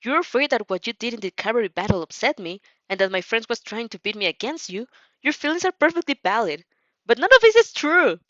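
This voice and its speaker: A woman's voice: on the high side, sing-song, fast, fairly bright tone, no room sound, dull audio, pitched above the performer's own voice. A woman playing an exasperated but caring teenage girl who scolds emphatically in a fanfiction audio drama. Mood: scolding.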